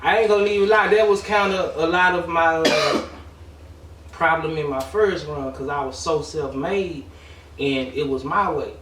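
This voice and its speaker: A man's voice: fairly high pitch, fairly even intonation, unhurried. A man, a guest rapper talking candidly in street slang and repeating phrases. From Dallas, Texas. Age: 40s. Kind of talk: casual interview chat. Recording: echoey room, distant mic, background noise.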